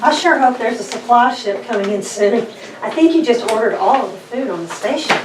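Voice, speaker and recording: A woman's voice: a little high, expressive, fairly quick, background noise, lightly acted. A woman playing a teasing, playful female space ranger at an informal sci-fi table read. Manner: sarcastic, playful. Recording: distant echoey room mic, chatter behind.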